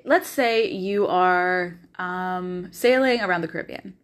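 A woman's voice: fairly low pitch, slow, clean tone. A woman from the American South with a Southern accent, hosting a podcast in a casual, enthusiastic motivational monologue, swearing freely and using plenty of fillers. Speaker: podcast host.

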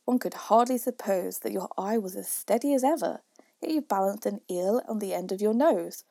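A woman plays a nosy, impudent youth reciting storybook verse. Each question is measured and emphatic, in a husky, mellow voice with a dry sound. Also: medium pitch, expressive, no room sound. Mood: cheeky. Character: cheeky, inquisitive young man questioning his father.

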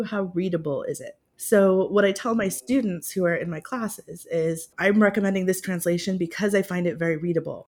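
A woman's voice: medium pitch, a little mellow. A woman talking about her work as a professor in a casual, self-deprecating way, laughing now and then. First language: English. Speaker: guest, Russian literature professor. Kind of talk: conversational interview.

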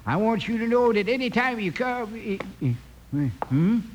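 An actor playing a fussy, excitable old American hotel keeper in a radio Western, stammering and repeating himself in a flustered way. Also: male voice, very high-pitched, normal speed. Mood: startled, hesitant. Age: older. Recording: old radio recording with faint noise.

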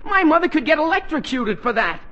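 An actor playing an excitable, scheming teenage boy with an urgent, whiny, melodramatic delivery in 1940s radio comedy. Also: sits high, fairly quick, lo-fi sound, exaggerated voice. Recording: noisy 1940s radio recording.

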